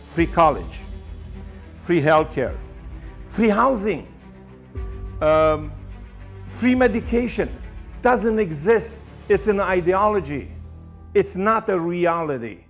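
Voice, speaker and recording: A man speaks in a fairly high voice. He presses his points hard and repeats phrases for force. Soft music plays faintly beneath him. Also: sing-song, takes it slowly, long pauses. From Romania. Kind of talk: personal testimony to camera.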